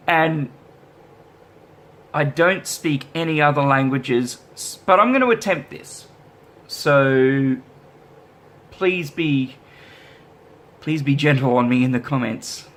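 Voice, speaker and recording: A man with a somewhat high voice chats loosely in a casual vlog. He is easygoing and self-deprecating, and his talk rambles with fillers as he answers viewer questions about his band. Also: fairly bright tone. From Australia. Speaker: musician vlogger.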